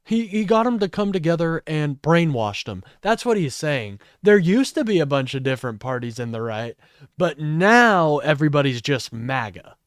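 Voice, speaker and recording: A man streaming live, reacting to a clip with blunt, profane, sarcastic commentary and a fairly high voice. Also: sing-song, normal speed, dry recording, clean studio sound. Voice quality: says his voice is high-pitched. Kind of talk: casual political commentary.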